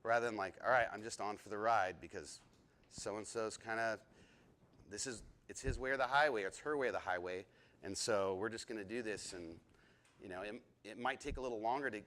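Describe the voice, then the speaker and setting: A man in his thirties teaching a leadership lesson to a group, excitable and chatty, often asking the group questions. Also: on the low side, fast. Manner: excitable, talks a lot, asks the group questions.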